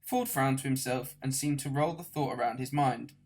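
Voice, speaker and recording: A man's voice: mid-range voice, normal speed. Man reading a comic novel aloud. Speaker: audiobook reader. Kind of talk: scripted storybook narration.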